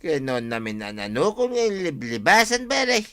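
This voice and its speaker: An actor playing a boisterous, tipsy village husband in an Ilocano comedy radio drama, with an Ilocano accent. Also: male voice, on the high side, very animated, moderate pace, sharp tone.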